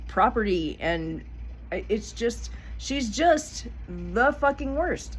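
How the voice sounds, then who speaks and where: A woman's voice: on the low side, slight background noise, low-quality recording. A middle-aged woman giving a casual commentary vlog, blunt and indignant, swearing freely. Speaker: vlogger.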